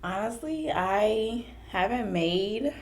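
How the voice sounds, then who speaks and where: A young woman whose first language is French, a podcast guest chatting casually and thinking aloud with pauses. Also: medium pitch, expressive. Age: young adult. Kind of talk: casual chat.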